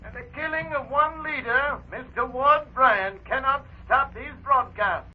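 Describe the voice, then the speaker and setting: A woman's voice: high voice, a little slow, highs cut off, over music. A woman acting an earnest, formal radio broadcaster making a declamatory speech in a 1930s drama. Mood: solemn, resolute.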